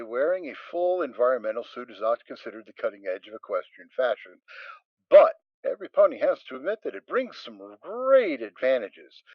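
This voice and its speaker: Wry, expressive male narrator. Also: mid-range voice, dry recording, lo-fi sound. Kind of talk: dramatic reading of fan fiction.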